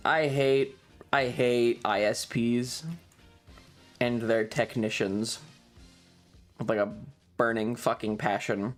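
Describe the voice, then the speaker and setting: Male streamer, slightly tired, unhurried rambling chat with swearing, music underneath. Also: mid-range voice, fairly even intonation. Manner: sweary, self-deprecating. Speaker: livestream host.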